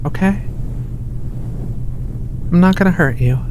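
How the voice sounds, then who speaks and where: A performer voicing a gentle giant furry alien researcher in a soft, hushed, soothing ASMR roleplay. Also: lightly acted. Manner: soothing, unhurried, reassuring, coaxing. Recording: steady rumbling ship ambience behind voice. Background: low engine rumble of a spaceship.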